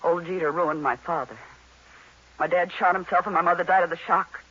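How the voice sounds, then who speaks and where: An actress playing a cool, tough, sardonic femme fatale in an old-time radio noir drama. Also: female voice, lowish voice, fairly even intonation, a little fast, fairly bright tone, husky. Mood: bitter resolve. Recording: hissy, dull vintage radio recording.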